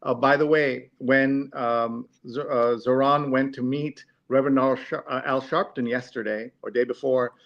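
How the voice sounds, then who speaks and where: A man's voice: medium pitch, fairly even intonation, normal speed, few pauses, lo-fi sound. A man hosting a live discussion show, talking casually to his audience.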